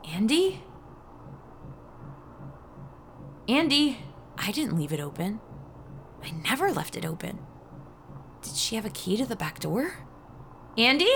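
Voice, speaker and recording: An actress playing a frightened, bewildered ordinary woman, telling her own thriller story in an urgent, breathy way. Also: medium pitch. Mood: anxious, panicked. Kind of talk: first-person dramatic audio fiction narration. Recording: noisy sound-effect ambience behind voice.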